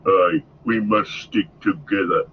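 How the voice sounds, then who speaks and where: A man voicing a brutish, commanding male orc captain with a slow, deep growl. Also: exaggerated voice. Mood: domineering. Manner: slow, gruff, domineering. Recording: dull lo-fi with faint hiss.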